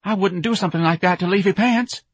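A man voicing a timid, nervous male office manager with a shrill, piping voice. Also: expressive, a little fast, a bit bright, breathy edge, no room sound, exaggerated voice. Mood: frightened, pleading.

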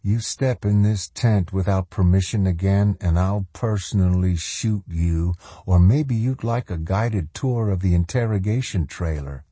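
Hostile army captain, read by a man: cold, curt, threatening, low register, husky edge, audiobook. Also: a little slow, hardly any pauses, dark tone, no room sound. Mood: curt menace.

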